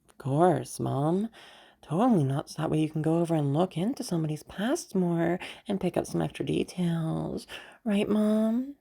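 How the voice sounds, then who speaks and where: Woman acting a husky, defiant grown daughter. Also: lowish voice, expressive, normal speed, mellow. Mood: sarcastic. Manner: sarcastic, pointed. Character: defiant grown daughter standing up to her mother. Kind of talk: amateur audio roleplay acting.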